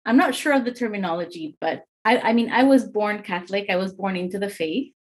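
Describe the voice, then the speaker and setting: A woman's voice: mid-range voice, a little fast. A woman in her forties from Mexico, a podcast guest speaking frankly and reflectively about her faith. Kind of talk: casual personal testimony.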